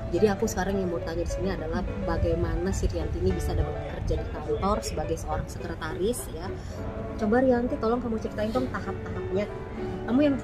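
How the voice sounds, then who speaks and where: Chatty woman vlogger over loud music. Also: on the low side, fairly even intonation, quick pace, low-quality recording. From Sumatra, Indonesia. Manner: chatty, laughs often, many fillers. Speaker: vlog host. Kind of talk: casual interview chat. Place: restaurant.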